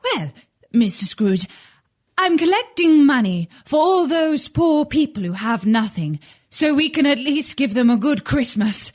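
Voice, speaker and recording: A polite, good-natured fellow acted by a woman with a high voice and very lively intonation. He speaks earnestly and a little hesitantly, as in a children's storybook drama. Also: a little slow. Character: meek, polite, cheerful male clerks and well-wishers.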